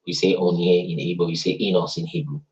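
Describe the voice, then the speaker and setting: Deep-voiced man explaining. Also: little pitch movement, fast talker, darkish tone. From Africa. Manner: teacherly, tags points with "right?" and "you see". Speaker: host explaining language links. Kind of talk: casual explanatory chat. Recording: dull lo-fi sound.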